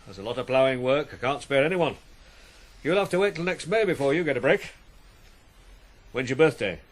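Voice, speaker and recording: An actor in a 1980s radio drama playing a stern but fair farm boss, plain-spoken, measured and questioning. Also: male voice, medium pitch, animated, close to an everyday voice. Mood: matter-of-fact, concerned. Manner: measured, questioning, matter-of-fact.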